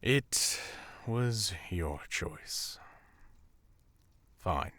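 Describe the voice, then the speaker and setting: A man playing a stern, possessive royal father in a fantasy audio drama, husky and halting, with an imperious, menacing manner. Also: low voice, animated, a bit bright, no room sound, exaggerated voice. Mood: incredulous, menacing. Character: stern, possessive king, anguished father of ailing princess. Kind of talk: audio roleplay drama acting.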